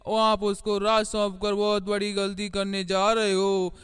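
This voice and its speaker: A man's voice: high-pitched, completely flat delivery, measured pace, a bit bright, clear, resonant tone, faint music behind. A man voicing a boastful, scheming deer in a cartoon fable, pushy and self-important. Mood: boastful, insistent. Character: boastful, scheming male deer rival for the throne. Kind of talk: animated moral story acting.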